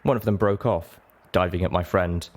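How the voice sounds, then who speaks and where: Deep-voiced man narrating a story. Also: normal speed, very noisy. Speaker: audiobook narrator. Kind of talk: first-person dramatic scripted narration.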